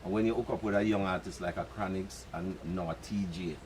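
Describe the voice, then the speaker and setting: A man's voice: low voice, fairly even intonation, a little fast, a little mellow, slight background noise. An older man with a Jamaican accent, telling rambling stories in patois at a press conference. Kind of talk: relaxed storytelling.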